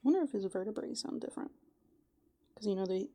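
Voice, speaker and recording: Breathy, creaky woman doing soft ASMR. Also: mid-range voice, expressive, quick pace, dry recording. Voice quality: soft, breathy, slipping into whispers. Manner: playful, hesitant musing, thinking aloud. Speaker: ASMR performer in a raccoon avatar persona. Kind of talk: ASMR roleplay, soft musing and improvised. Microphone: close ASMR microphone.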